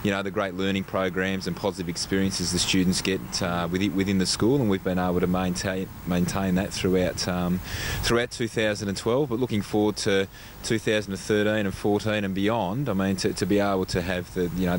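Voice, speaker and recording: Man, Australian accent, deep voice, halting interviewee, repeated phrase starts, slight background noise. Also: flat delivery. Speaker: school leader being interviewed. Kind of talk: spontaneous interview answers.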